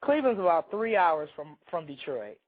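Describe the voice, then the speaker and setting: Hoarse guy co-hosting a radio show, rambly storyteller, kinda high voice, tinny phone line sound. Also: big pitch swings, normal speed, very noisy. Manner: rambling, self-interrupting storyteller. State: hoarse from a cold. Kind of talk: casual chat, storytelling.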